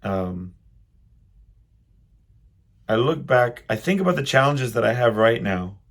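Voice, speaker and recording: A middle-aged man hosting a solo podcast, reflecting casually and haltingly as he thinks aloud in a loud voice. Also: medium pitch, animated, creaky. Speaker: podcast host.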